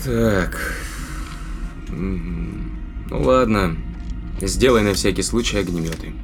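An actor playing a cold, calculating spaceship crewman in an audio drama, speaking in a deliberate, commanding way with a cruel streak. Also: deep voice, music in the background.

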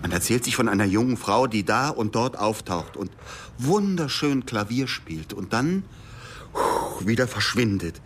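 An actor playing an anguished, obsessive man in a radio drama, speaking haltingly, repeating himself and breaking off. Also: medium pitch, animated, slight background noise, close to an everyday voice. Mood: wistful, obstinate. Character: anguished, obsessive man searching for a missing girl. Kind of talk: naturalistic radio drama dialogue.

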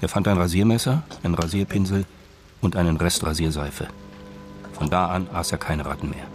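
Man, scripted radio drama narration, deep pitch, prominent music bed. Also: steady tone, fairly quick.

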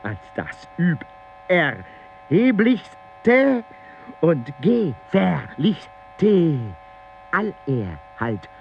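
An actor playing an agitated man in an experimental radio play, reciting in slow, broken phrases with wordplay. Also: fairly high pitch, big pitch swings, gravelly, exaggerated voice. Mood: declaiming. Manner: drawn-out, fragmented, word-play delivery. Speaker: radio drama performer. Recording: dull broadcast tape with mains hum.

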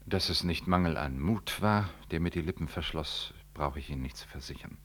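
A solemn priest from a 1960s radio drama, with a remarkably deep bass voice. He declaims in careful, formal phrases with earnest, self-righteous weight and dignified emphasis. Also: brisk, a bit bright. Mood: solemn, fervent.

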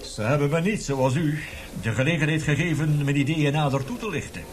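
A man's voice: a little high, a little fast, lightly acted. An actor in a radio drama playing an embittered, passionate male professor who lectures emphatically and indignantly. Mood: indignant, bitter.

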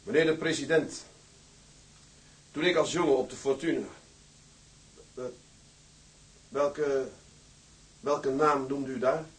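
An actor playing a broken, brooding imprisoned sea captain in a Dutch audio drama, halting and haunted, repeating himself. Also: male voice, mid-range voice, steady tone, lightly acted, low-quality recording. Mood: dazed, agitated.

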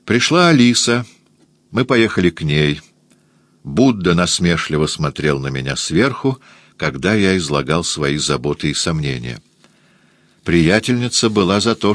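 A man with a very low voice reads a first-person memoir at an unhurried, deliberate pace. He sounds close and dry, with no room around him. He lifts his voice at the start of new phrases and sentences. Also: fairly bright tone, dry recording. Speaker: audiobook narrator. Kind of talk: scripted first-person literary reading.

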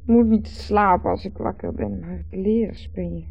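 Hungover whiny wife, creaky husky voice, groans and complains, old radio play. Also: female voice, mid-range voice, moderate pace. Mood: irritable. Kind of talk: 1970s radio drama acting. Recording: dull, muffled old radio recording.

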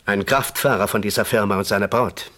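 An actor playing a plain-spoken man recounting a story to police in a German radio crime drama, husky and explanatory. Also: lowish voice, fairly quick, a bit bright, lightly acted. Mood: explaining. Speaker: witness retelling a story.

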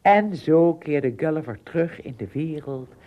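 A woman's voice: sits low, expressive, measured pace, highs cut off. A woman narrating a radio play as a scripted storyteller.

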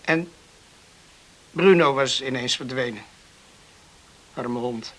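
An actor playing an earnest young island man in a radio drama, rescued from a storm, emotional and halting in his wonder. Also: mid-range voice, fairly bright tone. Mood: sober recollection.

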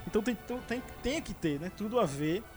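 A man's voice: high-pitched, lively intonation, fairly quick, loud music behind the voice. A man hosting a casual podcast about films, talking with many fillers and restarts. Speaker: podcast host. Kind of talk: casual movie chat.

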